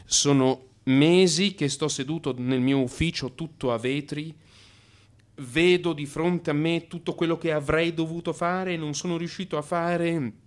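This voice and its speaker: A man acting an anxious, defensive, sleepless office worker in a theatrical radio monologue, agitated and halting as he justifies himself. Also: on the high side, fairly even intonation, lightly acted. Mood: anguished, resentful. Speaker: actor.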